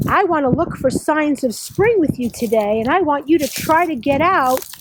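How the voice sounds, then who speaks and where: A woman teacher leading an outdoor lesson for young children, talking and reading aloud in simple, sing-song phrases. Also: high-pitched. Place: outdoors on a nature walk. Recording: clearly noisy outdoor recording.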